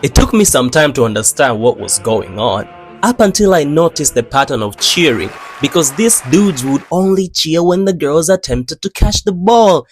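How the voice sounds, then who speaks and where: A young man from Tanzania, telling funny childhood stories in a slangy, animated way. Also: fairly high pitch, moderate pace, soft background music. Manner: animated, slangy, playful delivery with dramatic swings. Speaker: animator narrating his own channel. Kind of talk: comedic storytime narration.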